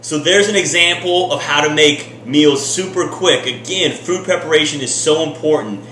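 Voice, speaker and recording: A man's voice: on the high side, moderate pace, pushed and bright. A man in his thirties talks straight to the camera in his home kitchen with an upbeat, direct coaching tone. His voice sounds roomy, picked up by the camera from a short distance, and a faint hum sits underneath it. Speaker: fitness vlogger. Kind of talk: casual how-to vlog.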